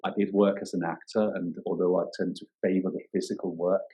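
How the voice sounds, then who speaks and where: A man, a yoga teacher talking thoughtfully in a podcast interview, often pausing and restarting as he searches for words. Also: low-pitched, level tone, mellow, poor audio. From Denmark. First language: Danish.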